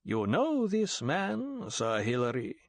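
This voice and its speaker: A man voicing a sinister middle-aged count with a silky, slow and deliberate way of talking. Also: mid-range voice, huge pitch swings, no room sound, pitched above the performer's own voice, full character voice. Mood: menacing.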